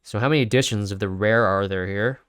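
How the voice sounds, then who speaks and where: A man's voice: fairly low pitch, quick pace, fairly bright tone, smooth, no room sound, studio quality. A man hosting a casual video, thinking aloud with many fillers and restarts while sniffly from sneezing. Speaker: crypto video host. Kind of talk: casual screen-share commentary.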